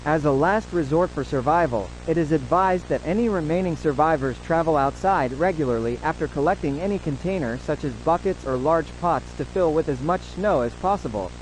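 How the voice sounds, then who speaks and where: A man playing a formal, solemn government announcer, reading a scripted emergency broadcast in a measured way. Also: on the high side, expressive, a little hiss or hum, natural voice, poor audio. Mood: grave, resigned.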